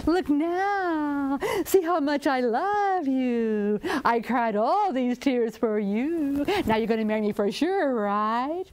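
A woman voicing a giant male python in a folktale, a lovesick suitor who pleads and wails in drawn-out cries. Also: sits high, lively intonation, a little mellow. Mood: lovesick pleading. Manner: wailing, drawn-out pleading and boo-hooing. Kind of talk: animated oral folktale telling.

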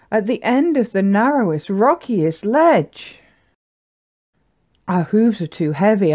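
A woman voicing a kindly, encouraging mother yak in a children's storybook, speaking in expressive rhyme. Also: medium pitch. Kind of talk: children's picture-book character voice.

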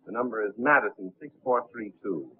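An actor playing a suave, unflappable gentleman impostor in a 1930s film, speaking politely and smoothly. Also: fairly low pitch, lively intonation, fairly quick, slight background noise, lightly acted. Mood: smoothly unruffled. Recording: muffled, tinny 1930s film soundtrack.

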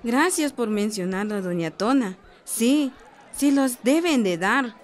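Patient Guatemalan health adviser, highish voice. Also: female voice, lively intonation, slow. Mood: explaining, encouraging. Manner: instructive, patient, encouraging. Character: friendly, assured female village health adviser. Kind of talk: radio soap opera acting.

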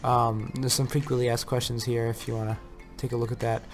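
A man hosting a casual software tutorial, explaining things step by step. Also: mid-range voice, level tone, a little fast. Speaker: video tutorial host. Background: faint music.

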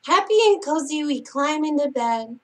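A middle-aged woman hosting a children's story time, speaking and reading aloud in a gentle, singsong way to young children. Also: high-pitched, animated, moderate pace. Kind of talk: children's picture-book read-aloud. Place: small indoor space hung with curtains and string lights.

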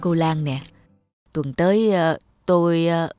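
Hesitant, humble elderly housekeeper. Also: female voice, low-pitched, slow, dark tone, soft background music. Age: older. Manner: hesitant, apologetic. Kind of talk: cartoon dubbing.

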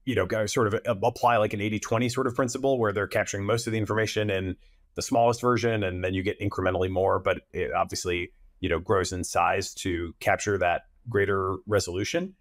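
Lowish-voiced man interviewing. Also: fairly even intonation, fairly quick, dry recording, clean studio sound. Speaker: podcast host, interviewer. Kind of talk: conversational technical interviewing. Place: indoors, video call.

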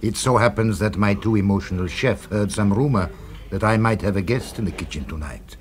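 An actor playing a suave, formal restaurateur in a 1970s radio drama, with measured, ingratiating speech. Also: male voice, fairly low pitch, natural voice. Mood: courteous, smooth. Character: suave, courteous male restaurateur, commanding with staff.

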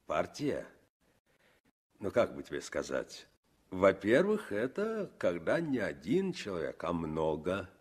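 Deep, unhurried navy commissar. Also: male voice, huge pitch swings, breathy edge, exaggerated voice. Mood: earnest, friendly. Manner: earnest, explaining simply. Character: friendly navy commissar, earnest and patient with a child. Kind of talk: 1960s Soviet radio drama acting.

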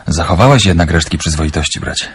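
A wry underground contact in a radio play, played by an actor. His voice is deep and husky, and he jokes dryly with a friend. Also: animated, quick pace, exaggerated voice.

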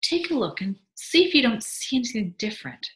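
A woman's voice: fairly high pitch, very animated, a little fast, voice pushed up. A woman voicing an anxious, tight-lipped mother in a storybook, fierce and commanding as she orders and scolds her husband. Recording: roomy echo.

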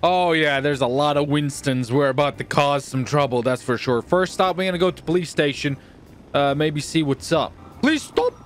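Male gaming host, high voice, theatrical and repetitive, playful roleplay commentary, faint music behind. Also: moderate pace.

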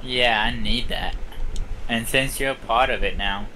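A man's voice: medium pitch, steady tone, measured pace, sharp tone, slight background noise, poor audio. A young adult man talking casually and informally with slang during a game. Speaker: gamer playing co-op. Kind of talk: casual gaming chat.

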